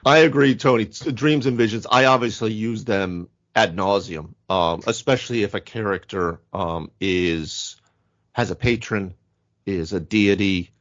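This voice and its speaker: A man's voice: lowish voice, a little slow, a bit bright. A man co-hosting a casual gaming podcast, rambling emphatically with plenty of hyperbole. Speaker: podcast co-host, game master. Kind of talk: casual tabletop gaming discussion. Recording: dull lo-fi audio.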